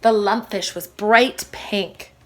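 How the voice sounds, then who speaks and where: A woman reading a children's story aloud like a primary teacher, with expressive, emphatic phrasing for young listeners. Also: mid-range voice, takes it slowly.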